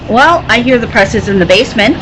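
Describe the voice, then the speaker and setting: A woman's voice: fairly high pitch, lively intonation, fast talker, close to an everyday voice. Actress as needling girl reporter, old radio drama, sceptical and sassy, faint hall noise. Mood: scornful. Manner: sarcastic, needling. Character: sassy, sceptical young woman newspaper reporter. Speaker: table-read performer. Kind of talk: 1940s radio serial acting, live read. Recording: noisy convention floor ambience. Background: convention hall noise.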